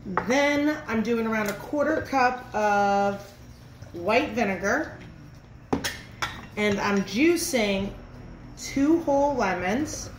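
A woman's voice: on the high side, expressive, slow, pauses often, background noise. A woman in her thirties, a chef giving an upbeat, chatty cooking demonstration in her home kitchen, filmed by a camera set in front of her. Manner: upbeat, chatty instructions with frequent fillers. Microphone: camera in front, mid-distance. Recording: roomy kitchen echo with music.

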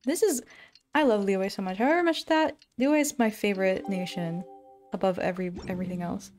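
A young woman streaming a game and commenting casually as she plays. Her voice is medium in pitch, with faint game music underneath. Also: brisk, darkish tone. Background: video game music.

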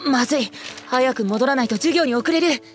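A man's voice: very high-pitched, normal speed, soft background music. A male actor playing a cute, vain, bratty teenage boy idol in a Japanese audio drama, pouty and whiny. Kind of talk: Japanese anime-style audio drama acting.

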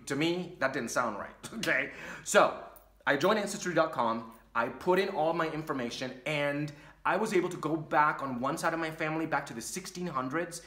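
Bright, animated man vlogging. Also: a little high, echoey room. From Puerto Rico. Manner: animated, gestures a lot while talking. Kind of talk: casual storytelling to camera. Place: small dim room with curtain behind.

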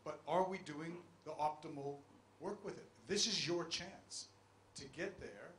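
A man's voice: fairly high pitch, a little slow, fairly bright tone. A middle-aged man on a conference panel, urging the audience to get involved, on a handheld mic. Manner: urging, persuasive. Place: conference room. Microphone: handheld microphone. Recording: echoey conference room, faint hiss.

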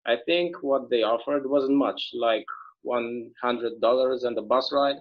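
A folksy, easygoing small-town bartender, read by a man over a video call. He rambles through stories in a middle-pitched voice. The sound is dull and lo-fi. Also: measured pace, close to an everyday voice. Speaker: actor in a table read.

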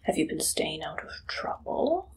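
A young woman playing an eager, shifty Victorian pie-shop keeper in an ASMR roleplay, breathy and whispery, halting and coyly secretive. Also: deep voice, big pitch swings, heavily acted, poor audio. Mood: nervously chatty. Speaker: ASMR performer. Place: small dim indoor room.

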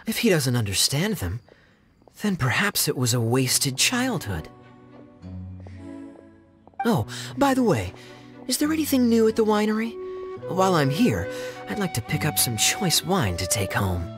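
A man's voice: fairly high pitch, wildly swooping pitch, unhurried, pauses often. A man voicing a suave, teasing young cavalry captain in a video game, playful and theatrical. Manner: theatrical, playful, smooth-talking. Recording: game music behind.

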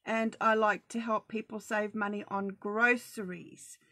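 A woman's voice: mid-range voice, level tone, a little slow, pushed and bright, dry recording, clean studio sound. A middle-aged Australian woman hosting a casual live video chat, talking in a chatty, practical and reassuring way about saving money. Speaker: budgeting group host. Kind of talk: casual Facebook Live talk.

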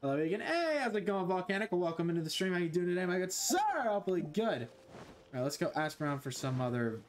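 A man's voice: sits high, animated, fast, a little hiss or hum. A young man streaming a game from his bedroom on a headset, chatting loosely with viewers, joking and swearing. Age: young adult. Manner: loose, joking, swears a lot, talks to chat. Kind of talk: casual gaming stream chat. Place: small bedroom.

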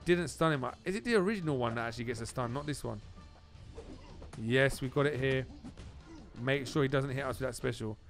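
A man giving excited video game commentary, repeating phrases and exclaiming in informal slang. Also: mid-range voice, fairly quick, long pauses. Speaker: gaming channel host. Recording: music behind the voice.

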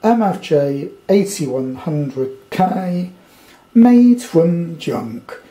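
A British man talks through a piece of kit as an amateur hobbyist would. His voice sits a little high for a man and has a dark, relaxed tone. He rambles in plain colloquial language and gives his opinions bluntly. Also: very slow. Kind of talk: casual off-the-cuff product review. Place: indoors at a tabletop.

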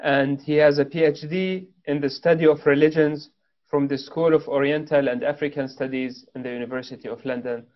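A man's voice: fairly high pitch, steady tone, a little fast. Young male host, formal, scripted questions, dull lo-fi webcam audio. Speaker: interview host. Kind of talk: formal interview introduction. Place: indoor room at home.

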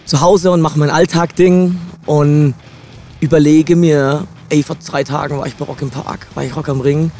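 A hoarse young man, a guest musician, talking in a relaxed, reflective way into a handheld mic inside a van. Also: fairly high pitch, normal speed, soft background music. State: hoarse from shouting. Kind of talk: casual interview.

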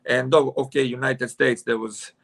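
A man's voice: medium pitch, steady tone, moderate pace, gravelly, fairly bright tone. A man in his forties from Serbia with a Serbian accent, a futsal coach speaking hesitantly with many fillers on a video call from home. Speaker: futsal head coach, guest. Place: room at home with curtains.

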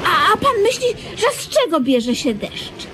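A woman's voice: very high-pitched, very animated, a little slow, heavily acted. An actress playing a fussy, doting woman who lectures earnestly and gets flustered in a comic radio play. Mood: earnest, lecturing. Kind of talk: comedic radio play acting. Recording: slightly noisy old radio recording.

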